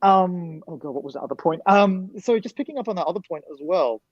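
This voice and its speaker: A man with a slightly raised pitch makes long, articulate points and puts a wry edge on them. The recording is dry and a little muffled. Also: lively intonation, fairly quick, dry recording. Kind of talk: discussion panel.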